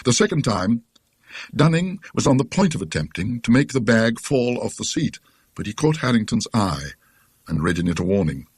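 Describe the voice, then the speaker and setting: A British man narrating a classic ghost story in a dry, scholarly, measured literary manner. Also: medium pitch, big pitch swings, breathy edge, no room sound. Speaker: audiobook narrator.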